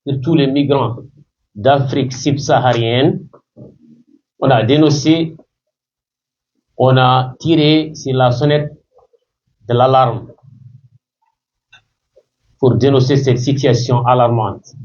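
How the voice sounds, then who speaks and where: A man's voice: mid-range voice, takes it slowly, pauses often, darkish tone. A man speaking at an activist meeting who gives an earnest personal testimony and switches between languages. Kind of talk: public speech. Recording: muffled, distant recording.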